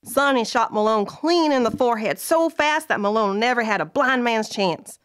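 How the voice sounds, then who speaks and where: Woman acting a folksy, higher-pitched Western narrator. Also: expressive, normal speed, a bit bright, smooth, dry recording, clean studio sound. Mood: wry. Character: folksy, wry female storyteller of a Wild West tale. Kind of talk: scripted audio play narration.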